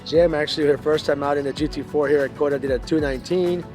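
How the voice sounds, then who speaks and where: Brisk, flat-toned man at a racetrack. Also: a little high, over music. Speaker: racing club host. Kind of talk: end-of-day vlog recap. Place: outdoors at a race track.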